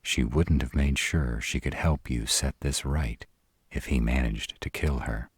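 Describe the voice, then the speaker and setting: Roleplay audio: male performer as a fierce, devoted dragon-shifter mate, extremely low and husky, crude yet tender, recorded dry. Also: no room sound, full character voice. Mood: earnest, tender. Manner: slow, crude-tongued, tender with partner. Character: protective, devoted male were-dragon lover, brash and fierce. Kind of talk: roleplay audio drama acting.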